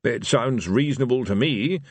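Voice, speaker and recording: A man's voice: mid-range voice, lively intonation, moderate pace, dry recording, voice pushed up, close to an everyday voice. A man voicing an elderly, absent-minded male god who talks hesitantly and searches for words in a storybook reading. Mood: benign, grand.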